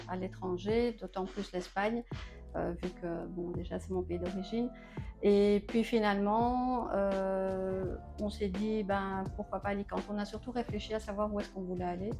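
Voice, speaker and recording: A woman's voice: mid-range voice, mellow. Belgian-raised woman, homeowner, hesitant casual talker, faint music bed. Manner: hesitant, many filler sounds. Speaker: homeowner giving a home tour. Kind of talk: casual interview.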